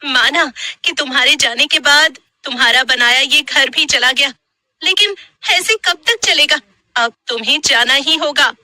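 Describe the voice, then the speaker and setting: A woman voicing an emotional, pleading young wife in an animated horror story. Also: high voice, unhurried, no echo, pitched above the performer's own voice, exaggerated voice. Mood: desperate, commanding. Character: frightened, scolding young wife in a haunted house.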